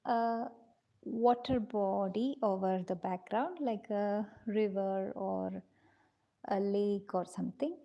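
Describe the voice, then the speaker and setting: A woman leads a live drawing class at an unhurried, careful pace. Her mellow voice carries a gravelly edge, and she stays gentle and patient, calling her students dears. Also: muffled recording. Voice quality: low-pitched voice, in her own words. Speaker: drawing teacher.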